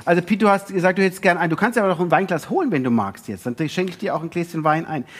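A man's voice: high voice, very fast. A man co-hosting a talk show in a casual, bantering way.

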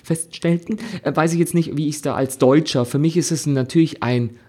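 A German man with a slightly husky voice chats loosely about a series, often breaking off mid-sentence to restart. His tone stays easygoing and a touch mock-exasperated, never formal. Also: fairly high pitch, normal speed, dark tone. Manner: chatty, self-interrupting, mock-exasperated asides. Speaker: podcast co-host. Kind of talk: casual chat reviewing a series.

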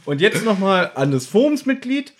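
A man hosting a casual podcast chat, teasing his co-host and telling stories in an animated way. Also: high voice, normal speed.

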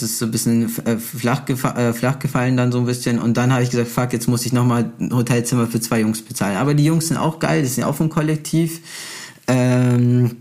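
A man's voice: mid-range voice, a little mellow. Young man telling stories in slangy chat. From Brazilian background. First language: Portuguese. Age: young adult. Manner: slangy, boastful, unfiltered. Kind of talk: casual anecdotal chat.

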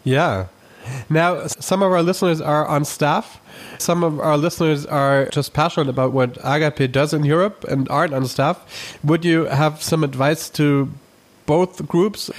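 A man hosting a podcast interview, asking his guest questions in a friendly, measured way. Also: a little high. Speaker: podcast host.

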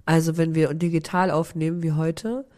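A woman with a head cold co-hosts a relaxed podcast from her office. Her voice is rough and keeps cracking, and her nose sounds blocked. She talks in a lively, slangy, easygoing way. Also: sits low, normal speed. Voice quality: hoarse, cracking voice. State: congested with a cold. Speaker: podcast co-host. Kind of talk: casual chat. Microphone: remote recording from an office.

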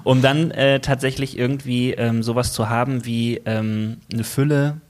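A man's voice: mid-range voice, fairly even intonation, a little slow. A man in a casual podcast conversation, speaking hesitantly and searching for words with many fillers.

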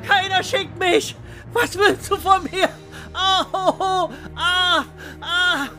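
A man's voice: very high voice, fairly even intonation, drawn-out words, bright, forceful tone, soft background music, pitched above the performer's own voice, heavily acted. A man playing a timid, boring accountant in an audio drama, stammering, pleading and crying out in helpless panic. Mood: desperate, crying.

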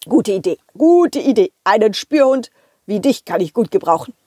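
Commanding storybook policeman, played by a woman: brisk orders, decisive repeats, lively pitch, husky edge. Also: no room sound, clean studio sound. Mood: approving. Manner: repeats short phrases decisively.

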